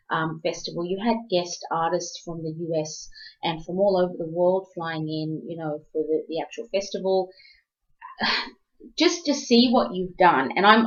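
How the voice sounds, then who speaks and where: A woman's voice: sits low, highs cut off. A woman from South Africa hosting an interview, talking casually with lots of "um" and "you know" and restarting her sentences. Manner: rambling, many fillers and restarts. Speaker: host.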